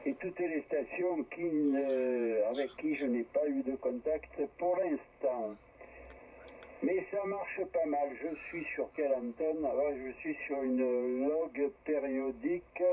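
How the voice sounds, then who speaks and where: An older man talking in a casual, hesitant, meandering way as an amateur radio operator on air. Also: fairly high pitch. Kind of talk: casual ham radio chat. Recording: dull, faintly noisy amateur radio transmission.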